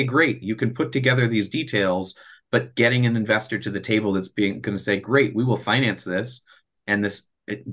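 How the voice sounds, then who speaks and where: Guy in his 30s, lowish voice, explaining a project earnestly over video call. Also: a bit bright. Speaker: presenter, space project developer. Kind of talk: explanatory presentation and Q&A. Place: small room at home or office, on a video call. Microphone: video call computer microphone.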